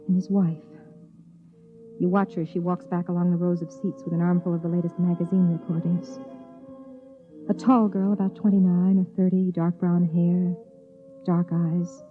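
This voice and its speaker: An actress playing an anguished, halting young wife in a 1950s radio drama. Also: female voice, lowish voice, natural voice. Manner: hesitant, halting. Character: anguished young pregnant wife, frightened, lovelorn, resigned. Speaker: lead actress and first-person narrator. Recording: dull old radio recording, music behind.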